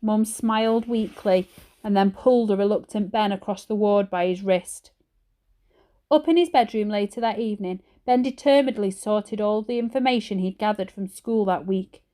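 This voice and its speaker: A woman's voice: mid-range voice, moderate pace, mellow. A woman narrating a children's storybook expressively, like a teacher reading aloud.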